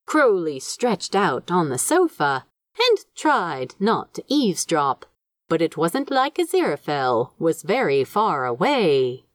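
A woman reading a scripted story as narrator, in an expressive, dramatic storytelling manner. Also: fairly low pitch, slow, dry recording. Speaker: fan fiction audiobook narrator.

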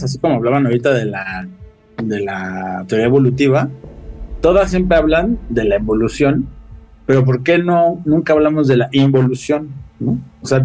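A Mexican man chatting casually as a podcast guest, telling things in an animated, slangy way with swearing. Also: medium pitch, fairly quick, faint music behind, rough recording.

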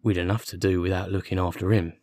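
A man's voice: low voice, moderate pace, darkish tone, no echo, studio quality. A man voicing a defensive, dim working-class police constable with colloquial, ungrammatical speech in an audiobook. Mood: aggrieved. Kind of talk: audiobook character dialogue.

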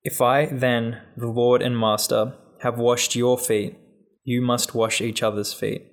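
A man reading a Gospel passage aloud in a measured, solemn way. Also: medium pitch, level tone. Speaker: scripture reader.

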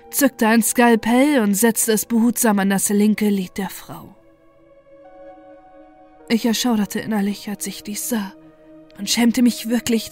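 Female horror narrator, mellow and dark, grave measured storytelling, scripted, light music bed. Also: a little high.

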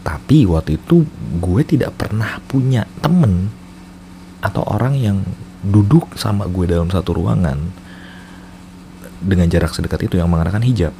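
Deep, mellow Jakarta man chatting casually. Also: lively intonation, moderate pace, vocal fry, pauses often, slight background noise. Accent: Jakarta Indonesian. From Indonesia, Jakarta. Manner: self-mocking, informal slang. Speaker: storyteller host. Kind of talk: casual personal storytelling with English code-switching.

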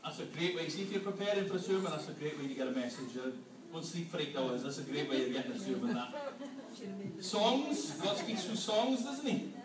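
A man's voice: on the high side, big pitch swings, brisk, recorded from a distance, a crowd in the background. A man leading an interactive family sermon in a church hall, playfully quizzing the congregation. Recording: echoey hall, mic from afar, room noise.

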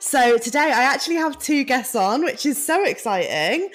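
A woman's voice: high-pitched, expressive, normal speed, faint music behind. A woman hosting a podcast, bubbly and effusive as she chats with guests. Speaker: podcast host. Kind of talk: casual chat.

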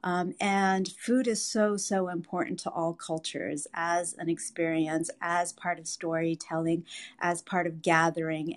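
A woman brand strategist introduces herself to a panel. Her voice sits low and stays very level, and her delivery is measured and dotted with hesitations. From United States, Iowa and Southern California. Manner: measured, with frequent "um" pauses.

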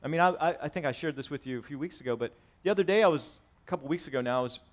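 Male pastor, 40s, slightly high voice; sermon delivery alternating emphatic and conversational. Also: rapid-fire. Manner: swings from loud preaching to soft asides, conversational. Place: church sanctuary with congregation.

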